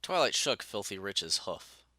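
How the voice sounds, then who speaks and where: A man's voice: on the low side, sing-song, a little slow, sharp tone, no room sound. A man narrating a scripted story as its narrator.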